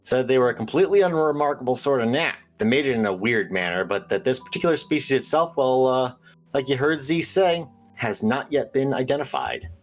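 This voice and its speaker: A man's voice: mid-range voice, lively intonation, fairly quick, lightly acted. Man playing a conspiracy-minded radio host over music. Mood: conspiratorial. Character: earnest conspiracy-minded male small-town radio host. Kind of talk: audio drama radio call-in hosting.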